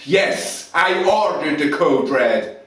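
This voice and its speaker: Proud, furious Marine commander played by a man, loud, higher-pitched, contemptuous courtroom declamation, heavily echoing room. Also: expressive, takes it slowly. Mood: indignant, defiant. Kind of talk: dramatic courtroom monologue. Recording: very echoey room, distant mic, noise.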